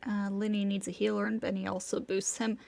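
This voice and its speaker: A woman's voice: medium pitch, flat delivery, fairly quick, creaky, darkish tone, no echo. A woman giving casual live-stream commentary as she plays a video game.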